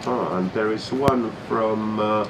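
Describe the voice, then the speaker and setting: A man whose first language is Finnish, speaking English with a Finnish accent in a Santa Claus role, talking slowly with many fillers. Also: on the low side. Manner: slow, hesitant, with many fillers. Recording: noisy room ambience.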